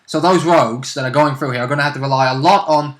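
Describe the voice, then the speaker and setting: A man's voice: mid-range voice, fast. A man co-hosting a gaming vidcast, chatting casually and analytically about game rules.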